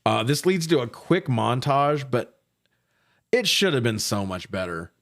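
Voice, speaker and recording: A man's voice: mid-range voice, very animated, measured pace, a bit bright. Sweary, jokey man recapping a film. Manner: sweary, jokey, mimics characters' lines. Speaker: podcast co-host. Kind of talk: casual comedic film recap.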